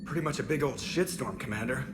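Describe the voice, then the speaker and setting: Guy doing smartass space pilot from a game, deadpan n casual, normal pitch, music behind. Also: fast talker, close to an everyday voice. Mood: dry, wry. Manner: dry, sardonic, casual. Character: wisecracking, irreverent male spaceship pilot. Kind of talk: video game cutscene acting.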